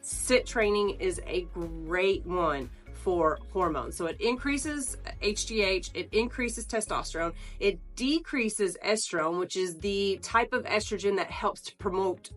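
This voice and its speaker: A woman in her forties, a wellness coach, explaining training step by step, slightly nasal as she gets over the flu. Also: fairly low pitch. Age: 40s. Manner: warm, earnest, explains step by step with pauses. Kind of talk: instructional talk to camera.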